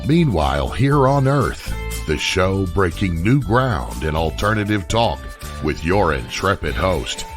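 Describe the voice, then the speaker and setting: A man with a very low voice delivers a scripted show intro as an announcer. His pitch swings in a lively way, and music plays clearly behind him. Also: a little slow. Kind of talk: scripted promotional intro narration. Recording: voice over music bed.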